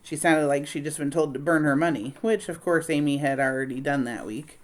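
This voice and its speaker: A woman's voice: low voice, fairly quick. A woman narrating a children's book as a read-aloud.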